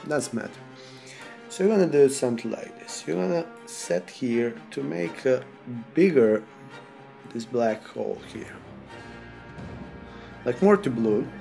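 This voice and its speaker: Slow, gravelly Serbian-accented man. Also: medium pitch, a little mellow. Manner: halting non-native English, thinking aloud while clicking. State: sick. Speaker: software tutorial presenter. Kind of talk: screen-recorded software tutorial.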